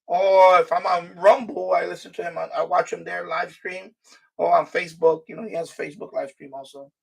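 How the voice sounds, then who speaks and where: High-pitched gravelly man chatting, off mic. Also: little pitch movement, brisk, distant mic, low-quality recording. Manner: rambling, repeats himself. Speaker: live stream host, radio hobbyist. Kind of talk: casual live stream chat. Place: New York City.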